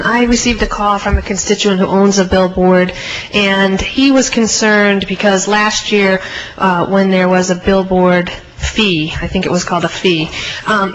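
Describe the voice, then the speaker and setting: A woman's voice: medium pitch, slight background noise. A woman questioning a witness at a hearing as a legislator, in spontaneous, unscripted speech. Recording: slightly dull, muffled hearing audio.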